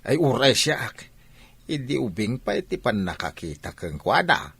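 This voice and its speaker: Husky, stubborn scolding father played by a man. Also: mid-range voice, very animated, moderate pace, a bit bright, no echo, full character voice. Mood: reminiscing, matter-of-fact. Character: stubborn, scolding father arranging his daughter's marriage. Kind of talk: Ilocano audio drama acting.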